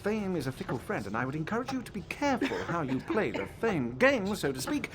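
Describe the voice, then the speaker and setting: An English actor voicing a vain, boastful celebrity wizard author, theatrical and self-satisfied, in a video game. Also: male voice, high voice, wildly swooping pitch, fairly quick, crowd noise. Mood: smug. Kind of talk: video game cutscene acting.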